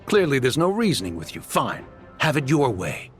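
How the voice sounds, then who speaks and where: A man's voice: mid-range voice, huge pitch swings, moderate pace, soft background music. A man playing a smug, greedy swindler turned socialite in a video game, with a taunting, theatrical delivery. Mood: haughty.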